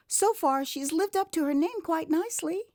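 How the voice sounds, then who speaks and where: American woman, dramatised memoir narration, expressive, theatrical, very varied intonation, dry close sound. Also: very high-pitched, normal speed, no echo. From United States. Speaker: author and narrator.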